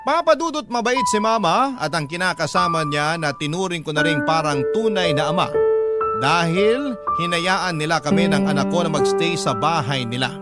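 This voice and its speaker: Guy reading as a heartbroken young parent, lighter pitch, emotive radio drama storytelling, loud music behind. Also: close to an everyday voice. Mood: earnest, wistful. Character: heartbroken young parent recounting a painful breakup. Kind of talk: first-person dramatic story narration in letter form. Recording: music bed under the voice. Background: background music.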